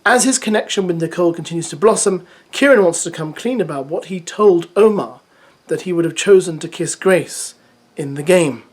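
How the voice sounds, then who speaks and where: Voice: medium pitch, normal speed, breathy edge. Chatty, opinionated recap vlogger. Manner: chatty, opinionated, exasperated asides. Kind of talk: reads an episode preview, then chats with opinions.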